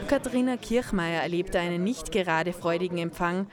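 A woman's voice: lowish voice, moderate pace, a crowd in the background. A woman reading scripted narration for a report as its reporter.

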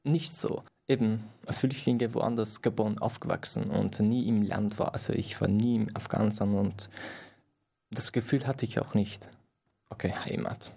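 A man's voice: on the low side, steady tone, moderate pace, vocal fry, dark tone, slightly rough. A young man, answering interview questions hesitantly and restarting words as he searches for phrasing. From Iran. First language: Farsi.

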